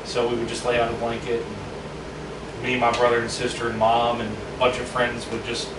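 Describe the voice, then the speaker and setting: A young man from Virginia telling a story in casual chat, profane and animated. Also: medium pitch, normal speed. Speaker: band member. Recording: roomy, distant mic with noise.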